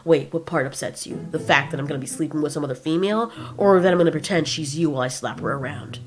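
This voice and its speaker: A woman's voice: low voice, quick pace, natural voice. A woman voicing a crude, grumpy male giant monster in a comic audio drama, blunt, taunting and sarcastic. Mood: spiteful, taunting.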